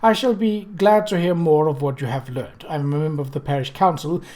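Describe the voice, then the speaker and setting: A man's voice: on the high side, fairly quick, a little mellow, natural voice. A man voicing a firm, proper older aunt who answers matter-of-factly. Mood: brisk.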